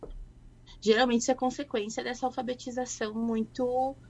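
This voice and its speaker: A woman, explaining literacy as a guest in a relaxed, reflective way. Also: on the high side, a little fast, lo-fi sound, slight background noise. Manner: reflective, thoughtful. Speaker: guest educator. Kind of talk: conversational explanation.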